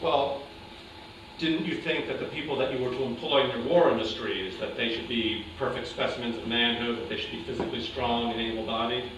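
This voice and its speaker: A man's voice: mid-range voice, fairly even intonation, brisk, a bit bright, natural voice. Stage drama: man as persistent interrogator, pointed and doubting, distant in a heavily echoing room. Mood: probing. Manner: probing, pointed. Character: persistent, skeptical interrogator of a war criminal. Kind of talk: staged play reading. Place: indoor room at a live staged reading, distant mic. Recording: very echoey, noisy room, distant mic.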